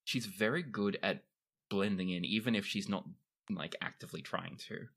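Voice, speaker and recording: A man's voice: lowish voice, moderate pace, creaky, fairly bright tone, breathy edge. A man improvising game narration and joining in comedy banter. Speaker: game master and podcast performer.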